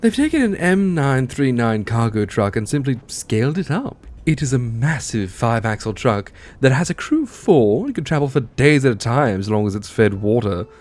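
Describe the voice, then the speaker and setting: Informative male soldier, played by a man, animated intonation, matter-of-fact briefing for audio drama. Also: mid-range voice, moderate pace, hardly any pauses, close to an everyday voice. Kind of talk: audio drama character acting.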